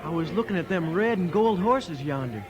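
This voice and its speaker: High, animated country boy. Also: moderate pace. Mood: awed, naive. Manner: folksy, ungrammatical country talk. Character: defiant, naive teenage ranch boy. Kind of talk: 1950s radio western acting.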